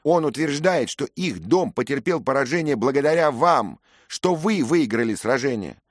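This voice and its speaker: A man's voice: mid-range voice, expressive, moderate pace, dry recording, studio quality, voice pushed up, close to an everyday voice. A male reader voicing a proud young noblewoman who angrily accuses a young knight. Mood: scornful, furious. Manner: scornful, accusing. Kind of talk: audiobook character reading.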